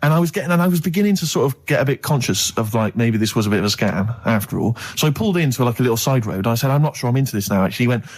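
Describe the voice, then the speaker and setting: A man's voice: mid-range voice, animated, very fast, few pauses. A British man co-hosting a comedy radio show, telling a chatty anecdote and acting out both sides of the conversations. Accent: British English.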